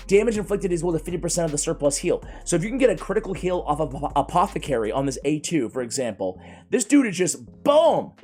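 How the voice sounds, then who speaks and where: A man reviewing video game characters in a casual, energetic way, his voice strained and worn from a cold. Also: on the high side. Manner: energetic, chatty, keeps addressing viewers as guys. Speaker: gaming YouTuber.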